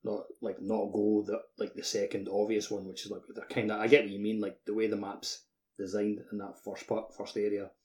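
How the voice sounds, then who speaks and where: A man with a lowish voice chats as a podcast host. His speech is loose and informal, built up in short casual bursts with lots of "like". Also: completely flat delivery, a little fast, darkish tone. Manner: informal, heavy use of "like". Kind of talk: casual chat.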